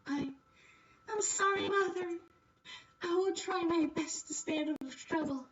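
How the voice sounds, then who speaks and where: A woman's voice: extremely high pitch, measured pace, fairly bright tone, recorded from a distance, dull audio, a little hiss or hum, pitched above the performer's own voice. A woman voicing a meek, obedient young daughter from a visual novel, timid and apologetic. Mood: meek apology. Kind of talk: reading visual novel dialogue.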